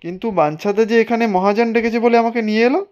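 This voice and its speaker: A man's voice: very high-pitched, racing, darkish tone, clean tone, lo-fi sound. A man voicing a plucky young village farmer in a Bengali cartoon, earnest and exclamatory. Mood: puzzled.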